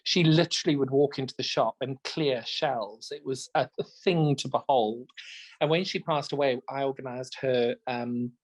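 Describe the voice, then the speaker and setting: A man's voice: medium pitch, normal speed, poor audio. A man from Zimbabwe co-hosting a podcast, chatting in an effusive, storytelling way. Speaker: podcast co-host. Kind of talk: casual chat.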